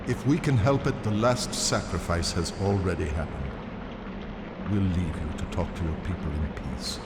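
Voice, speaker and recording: An actor playing a wry, fussy gentleman adventurer in a video game cutscene, with theatrical, polite phrasing. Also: sits low, moderate pace. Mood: firm, reassuring. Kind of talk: dramatic and comic video game cutscene acting. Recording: clear background noise.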